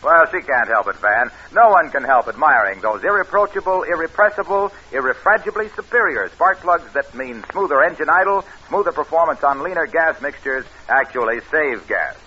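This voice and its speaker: An American man working as announcer and pitchman, reading scripted copy in a 1940s radio style. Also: expressive, normal speed, few pauses, slight background noise. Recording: dull old radio recording.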